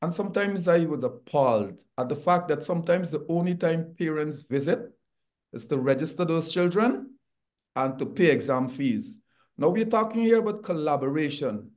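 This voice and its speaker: Middle-aged Guyanese man, slightly high voice. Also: expressive, normal speed, a little mellow. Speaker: talk show panelist. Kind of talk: earnest panel discussion. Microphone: video call.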